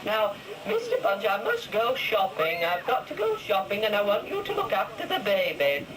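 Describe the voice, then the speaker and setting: A man's voice: high voice, huge pitch swings, normal speed. A man voicing a bossy, nagging wife in an outdoor puppet show, recorded at a distance among a crowd. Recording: distant voice under loud crowd noise.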